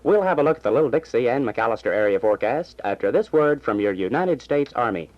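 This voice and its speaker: A dull, lo-fi vintage AM recording carries a male newsreader with a mid-pitched voice. He delivers scripted bulletins in a formal, measured 1960s announcer style. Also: lively intonation. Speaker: radio newsreader and station announcer. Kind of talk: 1960s scripted radio news reading. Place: radio station studio.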